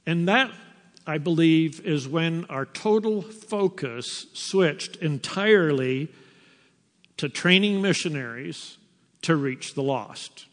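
An older man, a missionary teacher, giving a slow, unhurried personal testimony to a church. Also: a little high. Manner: slow, unhurried storytelling with pauses. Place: church sanctuary. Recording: long reverb of a large hall.

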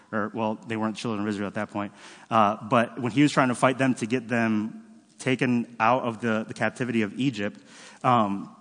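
A male pastor preaching in an easygoing, conversational manner, pausing with "um" and "uh" and rephrasing as he goes. His mid-pitched voice carries a long reverb from a large church hall. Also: a bit bright. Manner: conversational, self-correcting, frequent fillers. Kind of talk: sermon. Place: church sanctuary.

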